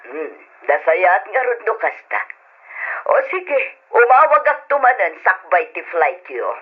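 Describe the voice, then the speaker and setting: A woman acting a caring, welcoming middle-aged aunt in an old radio drama, motherly and chatty with a husky voice. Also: medium pitch, big pitch swings, measured pace. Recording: muffled lo-fi old radio recording.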